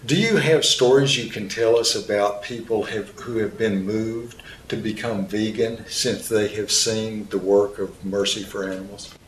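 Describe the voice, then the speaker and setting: Man asking interview questions, lowish voice, echoey room, faint rumble behind. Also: steady tone, a little slow, few pauses.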